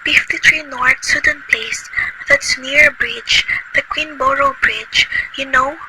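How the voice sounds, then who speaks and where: A woman acting a nervous, bedridden, wealthy woman who talks in a shrill, agitated, imperious way in an amateur radio play. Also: very animated, takes it slowly, gravelly, full character voice. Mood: anxious. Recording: loud noisy recording.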